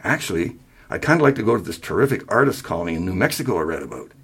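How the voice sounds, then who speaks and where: Man as older mother, motherly, dreamy, sharp-tongued, pointed, animated pitch, storybook reading. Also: racing, slightly rough, heavily acted. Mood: bubbly. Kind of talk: acted dialogue in a story reading.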